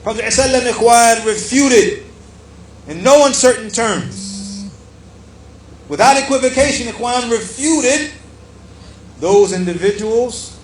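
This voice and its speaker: A man's voice: high-pitched, expressive, moderate pace, long pauses. A man giving an Islamic lesson, reading and explaining a text emphatically and repeating phrases for weight. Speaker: Islamic lecturer. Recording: echoey room with background noise.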